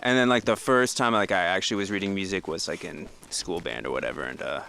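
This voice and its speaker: Hesitant young man, gravelly low voice. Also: fast talker, sharp tone. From Tampa, Florida. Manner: shy, hesitant, with many fillers. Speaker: guitarist in a band. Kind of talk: casual interview chat. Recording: faint static in the background.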